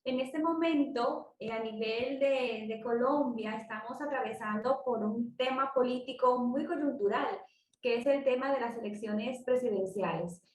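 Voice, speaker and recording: Psychology student from the Dominican Republic; slightly high voice, measured delivery, echoey room with a distant mic. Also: female voice. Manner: measured, with frequent restarts. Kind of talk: group class presentation.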